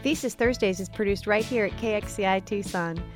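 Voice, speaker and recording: A woman reads a short station announcement in a steady, read-out way. Her pitch is middling. Faint music sits under her voice. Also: fairly quick. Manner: even, read-out delivery.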